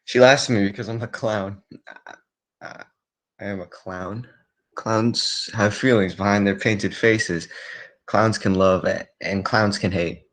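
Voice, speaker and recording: Man as a deep, lovesick hangdog clown. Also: steady tone, measured pace, fairly bright tone. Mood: wounded. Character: lovesick, hangdog male circus clown nursing bitterness. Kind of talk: 1940s radio acting.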